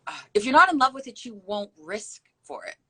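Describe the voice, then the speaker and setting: A woman's voice: fairly high pitch, expressive, a little fast, crowd noise. A young adult woman hosting a casual interview, affirming and asking probing questions. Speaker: podcast host.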